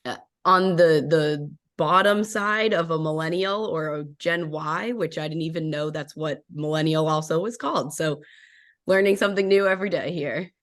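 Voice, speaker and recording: A woman's voice: low voice, normal speed. A thirty-year-old woman with a nasal, congested voice, casually introducing herself as a panelist. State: congested with a cold.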